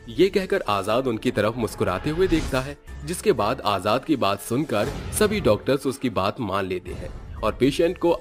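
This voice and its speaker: एक आदमी कार्टून भूत-कहानी का लिखा हुआ वर्णन सुना रहा है।. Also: male voice, mid-range voice. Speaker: story narrator. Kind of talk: scripted Hindi cartoon horror story narration. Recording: faint music underneath.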